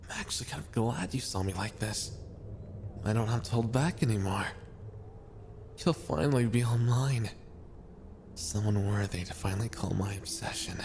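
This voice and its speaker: A man's voice: on the low side, normal speed, long pauses, darkish tone, slight background noise, natural voice. A man voicing a big, brutish, possessive prince of hell in an ASMR roleplay, with a blunt, brooding, threatening manner. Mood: obsessive, brooding.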